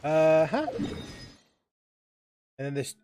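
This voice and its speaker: A man's voice: fairly high pitch, sing-song, extremely slow, dark tone, soft background music. A man giving casual gameplay commentary, thinking aloud and often repeating himself. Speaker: game streamer.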